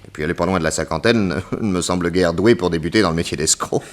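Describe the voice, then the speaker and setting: An actor playing a self-assured private detective in a French radio drama, brisk, methodical and authoritative. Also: male voice, low-pitched, close to an everyday voice. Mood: deliberate, reasoning.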